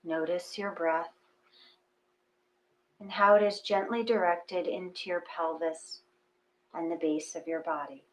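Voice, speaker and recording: A woman reading a guided meditation in a gentle, unhurried manner with long pauses between phrases. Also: fairly low pitch, steady tone, poor audio.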